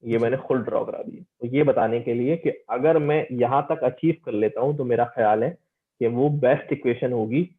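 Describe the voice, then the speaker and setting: A man with a medium-pitched voice teaches informally over a thin, phone-like connection. He explains step by step, repeating phrases and often checking that listeners understand. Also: moderate pace. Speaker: teacher giving an online lecture. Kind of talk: informal interactive technical lecture. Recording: tinny phone-like call audio.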